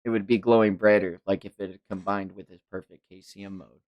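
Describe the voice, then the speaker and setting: A man's voice: low voice, flat delivery, fast, no echo, dull audio. A man hosting a manga read-along, mixing narration with enthusiastic, casual fan commentary. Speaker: host reading a manga aloud on livestream.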